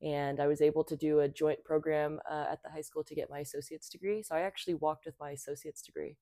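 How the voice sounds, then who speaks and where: Young woman guest, low voice, dry close sound, relaxed chatter with fillers. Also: fast talker, no room sound. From Thailand. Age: 20s. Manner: chatty, many fillers and restarts. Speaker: podcast guest. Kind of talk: casual conversation.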